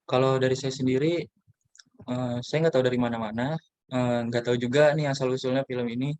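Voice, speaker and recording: A man's voice: medium pitch, steady tone, fairly quick, a little mellow. A young man from East Jakarta, a guest in a casual interview, who answers in a laid-back, hesitant way. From East Jakarta, Indonesia.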